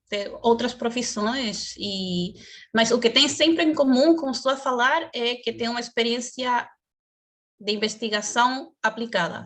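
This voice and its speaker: A woman speaking as a guest in a career webinar over a video call, explaining in a measured way and stopping now and then to search for words. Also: on the high side, a bit bright.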